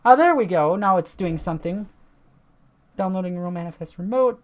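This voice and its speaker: A woman with a tired voice, chatting casually and swearing now and then as she reads on-screen text and walks through software at her computer. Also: on the low side, lively intonation, normal speed. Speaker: tech video creator.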